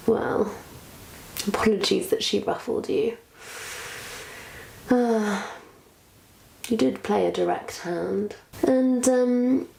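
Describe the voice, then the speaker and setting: A woman's voice: mid-range voice, creaky, dark tone. A woman playing a sly, self-satisfied witch posing as a missionary, in a theatrical, condescending monologue that savors each word to a captive listener. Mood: smug, teasing. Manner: theatrical, condescending, savoring words with long pauses. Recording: roomy echo, faint background noise.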